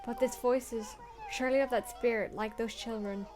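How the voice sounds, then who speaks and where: High-voiced young woman reading a game aloud. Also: fairly even intonation, moderate pace, noisy background. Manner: reads story text earnestly, breaks into crude swearing jokes.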